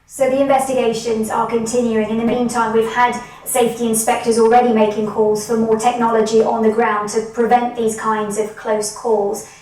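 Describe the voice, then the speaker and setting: A woman's voice: on the high side, little pitch movement, normal speed, hardly any pauses, a little mellow. A woman anchoring a news broadcast in a formal, polished manner, reading scripted news and conducting interviews. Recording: very echoey, distant from the mic.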